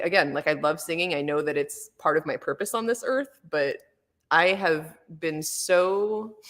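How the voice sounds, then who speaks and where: Low-voiced woman rambling in a roomy hall. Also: bright, forceful tone. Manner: rambling, filler-heavy, laughs often. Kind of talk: casual chat.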